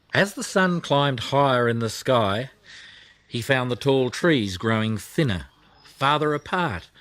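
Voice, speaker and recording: Male narrator for children's audiobook: very lively intonation, expressive, dry close recording. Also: mid-range voice, dry recording. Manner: expressive storytelling. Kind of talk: scripted children's story narration.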